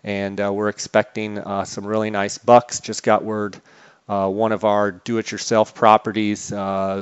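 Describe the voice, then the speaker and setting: A man's voice: lowish voice, clean tone. A man hosting a podcast in a conversational way, on slightly dull, lo-fi audio. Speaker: podcast host.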